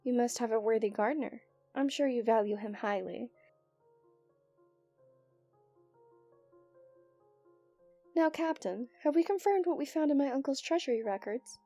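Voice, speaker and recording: Young princess, strong-willed romantic played by a woman. High, very mellow voice, soft and intimate, for ASMR roleplay. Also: a little fast, soft background music, close to an everyday voice. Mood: poised, commanding. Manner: soft, intimate delivery that often drops into whispers.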